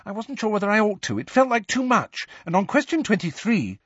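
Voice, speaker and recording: A man voicing an anxious, studious teenage schoolgirl in a fretful, hurried manner in an audiobook. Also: sits high, sing-song, a bit bright, slightly rough, dry recording, muffled recording, pitched above the performer's own voice, exaggerated voice.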